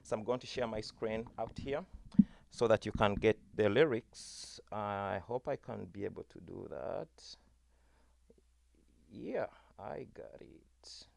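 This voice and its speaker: A man's voice: fairly low pitch, takes it slowly, creaky, darkish tone. A Ugandan man whose first language is Luganda gives a virtual school presentation as a music teaching artist. He explains patiently and says "uh" and "you know" often, speaking into a computer webcam mic.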